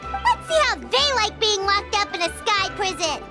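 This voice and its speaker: A tiny floating companion creature chirps along in a squeaky, childlike voice. Her tone is sharp and very high, and it swoops up and down. Soft game music plays behind her. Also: sing-song, moderate pace, full character voice. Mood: chirpy. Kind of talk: video game voice acting.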